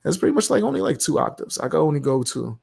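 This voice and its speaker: A man's voice: a little high, very animated, fast talker, vocal fry, darkish tone, breathy edge. A man in casual chat about home recording, laid-back and slangy, swearing freely. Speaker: streamer.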